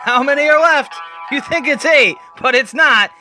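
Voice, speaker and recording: A man's voice: very high voice, normal speed, bright, forceful tone, soft background music, pitched above the performer's own voice, exaggerated voice. A man voicing a drunk at a bar, rambling and repeating himself. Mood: drunken musing. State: acted drunkenness.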